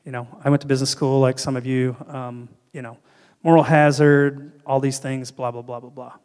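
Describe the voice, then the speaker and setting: A man's voice: medium pitch, flat delivery, moderate pace, darkish tone. Middle-aged guy from north carolina giving a keynote, earnest, holding the mic, echoey hall. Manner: earnest, gestures broadly, frequent restarts and ums. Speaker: keynote speaker and panel guest. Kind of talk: conference keynote and fireside chat. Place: large hall on stage. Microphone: handheld mic. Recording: hall reverb.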